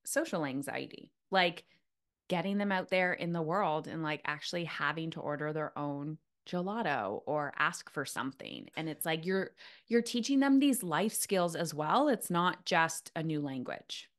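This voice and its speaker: A woman hosts an interview in a casual, informal register. Her voice sits a little low for a woman, and she sprinkles in "like" while reflecting ideas back to her guest. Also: animated, moderate pace. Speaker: podcast host.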